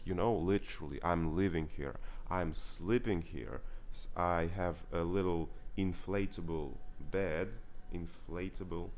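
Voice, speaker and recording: A young man with a Russian accent speaking English as a second language in a candid vlog monologue. He is earnest and halting, searching for words and often correcting himself. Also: low-pitched, darkish tone, a little hiss or hum. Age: young adult. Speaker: vlogger. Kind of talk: casual confessional monologue.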